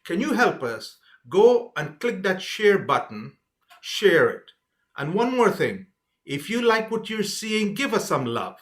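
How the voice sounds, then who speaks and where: Male co-host, middle-aged, fairly high voice, livestream promo talk on video call. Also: sing-song, measured pace, fairly bright tone.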